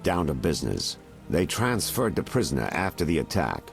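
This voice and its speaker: A man's voice: a bass voice, huge pitch swings, normal speed, vocal fry, soft background music, heavily acted. An actor playing a shrewd, mercenary male informant in a video game, haggling and insinuating in a wry way. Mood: confiding.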